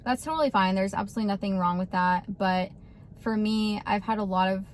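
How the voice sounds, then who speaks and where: A woman in her thirties from Texas, chatting casually to camera and laughing often between animated bursts. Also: mid-range voice, brisk, a little hiss or hum. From Texas, USA. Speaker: vlogger.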